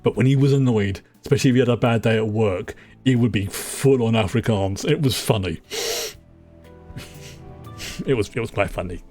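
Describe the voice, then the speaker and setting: Man, livestream gamer, casual rambling, easy laughs, reads game lines aloud, soft music underneath. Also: fairly low pitch, normal speed. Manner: chatty, laughs often, trails off in restarts; reads on-screen dialogue aloud. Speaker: streamer. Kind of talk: live gameplay commentary.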